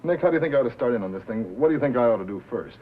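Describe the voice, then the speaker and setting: An American man acting as an earnest, probing radio reporter in a 1950s film drama, measured and plain-spoken. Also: medium pitch, lively intonation, close to an everyday voice. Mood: probing, curious. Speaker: actor in a feature film. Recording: muffled vintage film soundtrack, faint noise.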